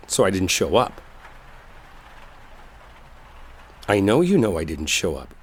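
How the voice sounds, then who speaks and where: A man's voice: deep voice, a little hiss or hum. A man playing an exasperated, defensive coworker who is secretly smitten, in an emotional roleplay audio drama. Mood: earnest, defensive. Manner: emotional, pleading, emphatic, conversational. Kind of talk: roleplay audio drama, intimate one-sided dialogue.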